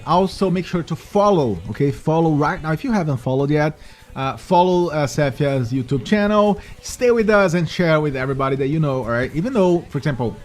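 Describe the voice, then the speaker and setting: A man from Brazil with a Brazilian Portuguese accent, casting a live esports racing match with chatty, energetic hype for the audience. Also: on the high side. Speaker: esports caster.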